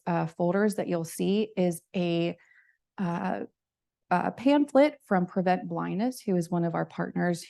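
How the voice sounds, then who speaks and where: A woman's voice: fairly low pitch, vocal fry, dark tone. A woman hosting a live event, presenting to an audience and then moderating a Q and A, upbeat with frequent fillers.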